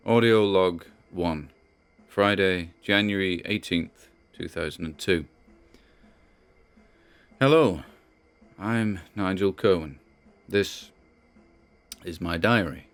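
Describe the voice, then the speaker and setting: A man acts out a troubled British grandson speaking into his audio diary. His voice is low-pitched, and he talks in a halting, conversational way, as if confiding privately. It is a monologue from an audio drama. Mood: hesitant, determined.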